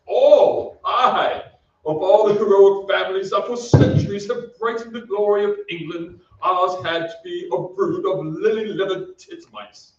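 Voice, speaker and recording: A man's voice: high voice, fairly even intonation, unhurried. A man playing a mournful old English ghost nobleman in a theatrical, archaic, old-time radio drama style. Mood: ashamed, lamenting. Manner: theatrical, archaic and lofty speech. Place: theatre stage, heard from off. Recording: distant echoey stage, dull with noise.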